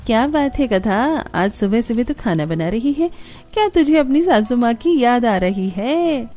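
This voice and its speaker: A woman's voice: on the high side, expressive, a little slow, warm tone. A woman voicing a motherly, bossy elder daughter-in-law in a cartoon family, coaxing and scolding. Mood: teasing. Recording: music behind the voice.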